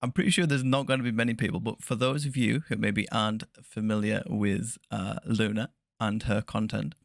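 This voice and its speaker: A man hosting a soft-spoken interview podcast, chatty and laughing often, correcting himself as he goes. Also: lowish voice, steady tone, normal speed, gravelly, dry recording, clean studio sound. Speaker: podcast host.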